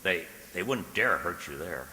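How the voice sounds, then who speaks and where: A man playing an earnest, anxious, lovestruck gentleman in a radio drama, with a stammering, dramatic delivery. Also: low-pitched, lively intonation, unhurried, echoey room, big-room sound, a little hiss or hum, exaggerated voice. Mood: pleading. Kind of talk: radio drama acting, journal narration and dialogue.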